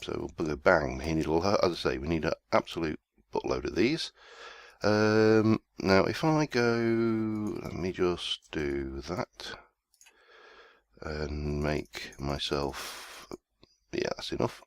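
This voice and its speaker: Brief: male game host, very low voice, chesty with a cold, rambling and self-mocking, dry close mic. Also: slow pace, no room sound. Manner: rambling, self-deprecating, easygoing. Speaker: let's play host. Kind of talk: casual gameplay commentary.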